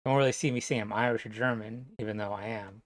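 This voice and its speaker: A man from New Jersey talking to camera in a rambling, casual monologue, halting and full of fillers, swearing now and then. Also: on the low side, fairly even intonation. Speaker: vlogger.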